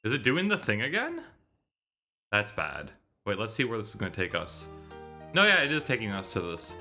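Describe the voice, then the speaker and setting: A man's voice: lowish voice, wildly swooping pitch, normal speed, sharp tone, soft background music. A man in his thirties hosting a live stream, chatting casually in a rambling, digressive way and often correcting himself. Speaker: live streamer hosting a visual novel readalong. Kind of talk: casual livestream chat.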